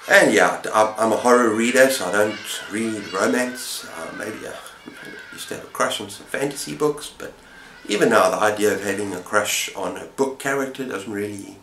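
A man's voice: fairly low pitch, normal speed, a bit bright. A man in his fifties chatting casually and enthusiastically to camera as a book reviewer, rambling a little. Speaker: book reviewer and channel host. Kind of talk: casual chat to camera about books. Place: small indoor room with bookshelves. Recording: roomy echo, faint background noise.

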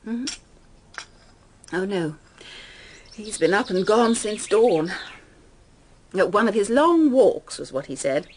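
A woman's voice: medium pitch, lively intonation, slow, long pauses, slight background noise. An actress playing a middle-aged stepmother in a British radio drama, emotionally fragile yet brave, with a British accent. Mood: brisk, fussing.

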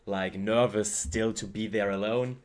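A man's voice: on the low side, fairly even intonation, a little slow. A young man hosting a reaction video from a small home room on a headset, chatting enthusiastically over the clip. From Germany. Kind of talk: casual reaction commentary.

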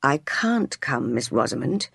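Devout, self-denying storybook vicar, voiced by a narrator: stern, slow, restrained. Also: medium pitch, very animated, vocal fry, fairly bright tone, breathy edge, dry recording, clean studio sound, voice pushed down, full character voice. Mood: strained refusal.

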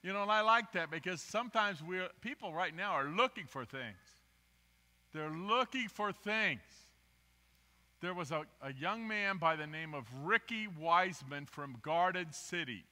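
An older man gives a storytelling sermon from a church podium, his slightly high voice bright and sharp. He wanders from point to point, poking fun at himself and swinging between loud emphasis and hushed asides. The sound carries a long reverb from a large sanctuary, picked up by a podium mic. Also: expressive, measured pace. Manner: rambling, self-deprecating, swings from loud emphasis to quiet asides. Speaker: guest preacher. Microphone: podium microphone, filmed from the pews.

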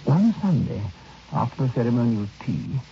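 Grave, creaky, brooding male storyteller. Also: medium pitch, big pitch swings, a little hiss or hum. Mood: reflective. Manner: grave, literary, measured. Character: brooding male first-person narrator of a dark tale. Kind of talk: dramatic old-time radio narration. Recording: dull old radio recording with music.

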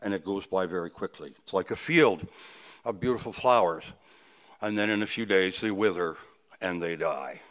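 A man of sixty-nine from Canada delivering a funeral sermon at a lectern, reflective and halting, with personal reminiscence. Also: low voice, fairly bright tone. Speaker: funeral officiant, preacher. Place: indoors at a funeral home, at a wooden lectern. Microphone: lectern microphone.

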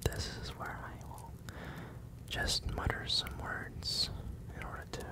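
Male werewolf captor, acted for ASMR roleplay, breathy whisper, unhurried, calm, commanding, formal wording. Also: close to an everyday voice. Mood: secretive, teasing. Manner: slow, deliberate, formal phrasing.

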